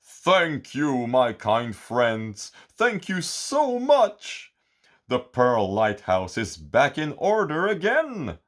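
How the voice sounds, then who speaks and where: A man's voice: on the low side, very animated, takes it slowly, a bit bright, heavily acted. A man voicing a proud, kind male sea giant in a storybook reading, with a raised, carrying voice. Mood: grateful.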